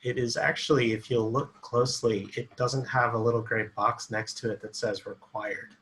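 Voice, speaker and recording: A man on a video call calmly explaining details in a casual meeting. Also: mid-range voice, normal speed, slight background noise. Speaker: meeting participant.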